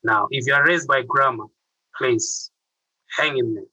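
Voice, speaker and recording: A man's voice: medium pitch, measured pace, pushed and bright, no echo, poor audio. A middle-aged man with a Ugandan accent, telling his story earnestly as an interview guest. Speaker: interview guest, youth mentor.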